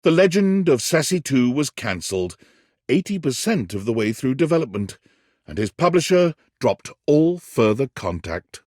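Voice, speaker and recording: A man's voice: mid-range voice, big pitch swings, moderate pace, a little mellow, dry recording, clean studio sound. An actor playing a wry, dramatic storyteller, a man narrating a cheeky dating game in an arch, florid, theatrical way. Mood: mock-solemn. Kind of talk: scripted narration.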